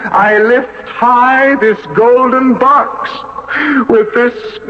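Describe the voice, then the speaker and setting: A man's voice: very high-pitched, fairly even intonation, extremely slow, exaggerated voice. An actor playing a wrathful, imperious pharaoh and grieving father, scornful and declamatory, in 1970s radio drama style. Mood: solemn defiance. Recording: dull, noisy old radio broadcast.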